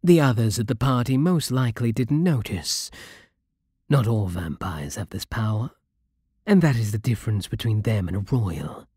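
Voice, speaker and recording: A man with a British accent voicing a possessive, regal vampire king in an ASMR roleplay, soft, breathy and condescending. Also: fairly low pitch, expressive, no room sound, clean studio sound. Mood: smug. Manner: slow, menacing, haughty purring.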